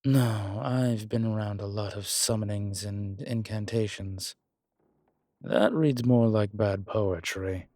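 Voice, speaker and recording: A man playing a wry, world-weary demon investigator in a horror roleplay audio, speaking in hesitant, measured, musing phrases with dry humour. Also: fairly low pitch, fairly even intonation, dark tone, no echo. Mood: dryly amused. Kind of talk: horror roleplay audio, intimate one-sided dialogue.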